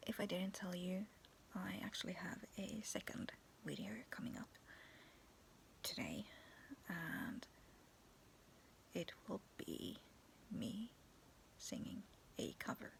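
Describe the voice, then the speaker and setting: A young woman vlogging in a soft, raspy whisper, talking to her viewers to camera and telling a spooky story, giggling now and then. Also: fairly low pitch, very animated, slow, pauses often. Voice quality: raspy, cracking voice. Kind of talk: whispered casual vlog with a ghost story. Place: dim bedroom with fairy lights. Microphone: phone or webcam at arm's length.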